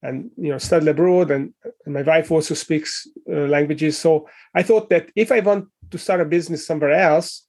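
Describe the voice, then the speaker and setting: A man's voice: on the high side, normal speed, a little mellow. A middle-aged man with a Hungarian accent, a guest answering interview questions in a thoughtful way with many fillers and restarts. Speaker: guest, leadership coach and author.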